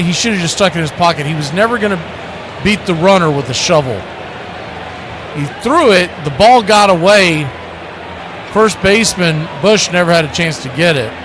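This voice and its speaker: A man's voice: sits high, noisy background. A man doing live baseball play-by-play, mixing clipped calls with conversational analysis. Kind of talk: live baseball radio commentary.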